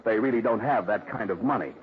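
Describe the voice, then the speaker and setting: An actor playing a scheming ad man in a 1950s radio drama, talking slow, cool and menacing. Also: fairly low pitch, a little hiss or hum, natural voice. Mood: cool menace. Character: scheming male ad man, smooth and cold. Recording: tinny 1950s radio broadcast.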